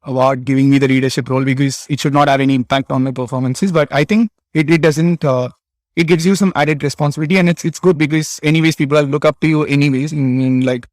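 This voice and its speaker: A man's voice: fairly high pitch, steady tone, fast, dry recording. A young man from southern India with a Tamil-region Indian English accent, answering interview questions hesitantly, with many fillers and restarts. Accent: Indian English, Tamil Nadu. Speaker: interview guest, cricket player.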